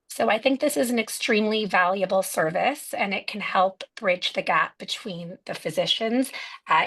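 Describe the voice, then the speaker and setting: A woman doctor introduces the speakers at hospital rounds. She speaks at a middle pitch, in a warm and appreciative way. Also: slightly rough. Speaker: hematologist, host introducing speakers. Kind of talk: formal introduction at medical rounds.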